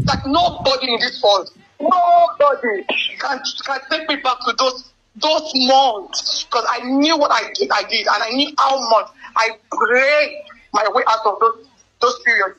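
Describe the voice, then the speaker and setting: A young woman in an emotional personal phone conversation, speaking haltingly and often repeating her words. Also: sits high, bright, forceful tone. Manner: halting, repetitive phrasing. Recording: band-limited leaked phone call with music. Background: music.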